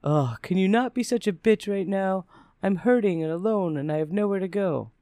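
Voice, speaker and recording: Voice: medium pitch, lively intonation, fairly quick, mellow, no echo, studio quality, pitched above the performer's own voice, lightly acted. A narrator voicing a whiny, taunting, self-pitying ex-husband in a personal story, with a needling manner. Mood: hurt, angry. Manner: whining, needling.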